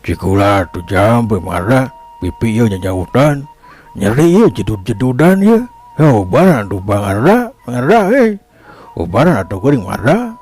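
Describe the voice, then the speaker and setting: A man voicing an aggrieved, excitable village chief in a folk tale, with a Sundanese accent and groaning, exclamatory delivery. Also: fairly low pitch, measured pace, soft background music. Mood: aggrieved, pained.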